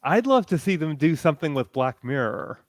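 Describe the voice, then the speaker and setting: A man's voice: fairly high pitch, very animated, a little fast, dry recording, studio quality. A man speaking casually as a panelist and podcaster in a discussion.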